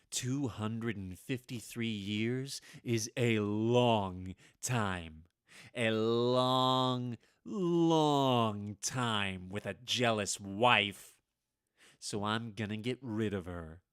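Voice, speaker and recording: A man performs a haunted, henpecked professor in an old-time radio play. His voice is bright and forceful, with big swings of pitch. He confides nervously and stammers, at a slow pace, in a dry, close sound. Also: no echo. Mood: weary, rueful. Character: henpecked, haunted male history professor, anxious and plotting. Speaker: radio drama actor. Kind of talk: vintage radio drama acting, confiding monologue.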